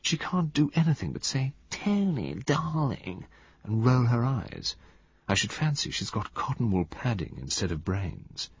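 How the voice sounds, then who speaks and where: Scornful young woman voiced by a male reader, muttered low and quiet through the teeth, husky, dull dry sound. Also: sing-song, unhurried, full character voice. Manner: murmured between the teeth, contemptuous.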